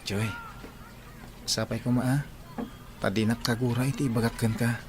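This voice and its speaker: A man's voice: medium pitch, steady tone, moderate pace, lightly acted. A man playing an earnest young suitor in an audio drama, with an Ilocano Filipino accent. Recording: faint outdoor ambience noise.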